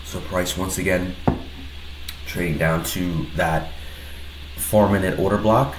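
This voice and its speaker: A man with a head cold and a slightly nasal voice talks through his own trade in a casual, hesitant way, with many pauses and restarts. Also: low voice, steady tone. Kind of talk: casual screen-recorded trade breakdown. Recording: roomy echo with faint background noise.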